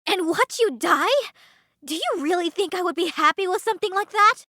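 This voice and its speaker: An actress playing a determined, devoted young nun on the run in a dramatic visual novel, earnest and resolute, with music underneath. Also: female voice, very high voice, fairly quick, pushed and bright, dry recording, studio quality. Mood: distressed, defiant.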